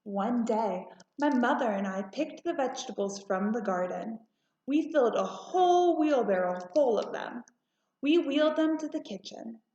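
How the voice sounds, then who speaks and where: A woman narrating a children's storybook aloud in a deliberate, expressive way. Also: a little high. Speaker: storybook reader. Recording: roomy, slightly muffled home recording.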